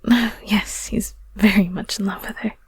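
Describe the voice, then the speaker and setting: A woman playing a shy, friendly female ghost of the underworld in an intimate ASMR audio roleplay, soft and whispery, with hesitant, stammering, gently awkward speech. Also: medium pitch, fairly even intonation, moderate pace, slightly rough, no echo. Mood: warm, interested.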